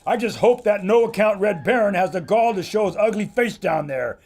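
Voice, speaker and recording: A brash, boastful adventurer filmmaker with a gruff swagger. His voice sits high and gravelly with a husky edge. It swoops with lively energy. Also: normal speed. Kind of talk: audio drama acting.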